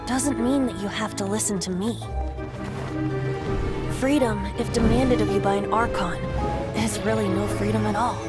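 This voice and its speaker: A woman voicing a youthful, carefree male wind god who speaks in a gentle, wistful, lilting way in a video game. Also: medium pitch, fairly even intonation, normal speed, long pauses, loud music behind the voice, close to an everyday voice. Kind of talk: video game dub acting. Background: orchestral score.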